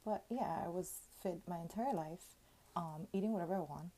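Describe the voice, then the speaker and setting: A woman's voice: on the low side, moderate pace, warm tone, poor audio. Chatty thirties woman gossip podcaster. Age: 30s. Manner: chatty, full of "like" fillers and casual swearing. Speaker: podcast host. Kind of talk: casual gossip podcast monologue.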